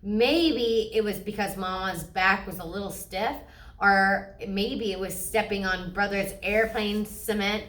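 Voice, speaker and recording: A woman's voice: medium pitch, fairly bright tone, recorded from a distance. A woman storyteller reading a children's picture book aloud expressively. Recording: echoey room.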